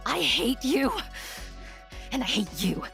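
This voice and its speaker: A woman's voice: high voice, animated, slow, gravelly, fairly bright tone, faint music behind, heavily acted. A woman playing a seething, vengeful young adult daughter turned killer in a horror-comedy audio drama, intense and bitter. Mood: enraged.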